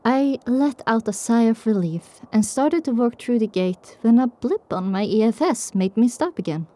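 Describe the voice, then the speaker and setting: A woman's voice: fairly high pitch, animated, normal speed, warm tone, dry recording. A woman narrator reading a scripted first-person story for an audio drama.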